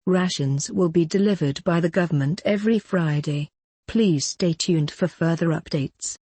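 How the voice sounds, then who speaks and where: A woman reading a formal scripted government emergency bulletin in a measured, impersonal manner. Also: on the low side, warm tone, slightly rough, no echo. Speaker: emergency alert announcer.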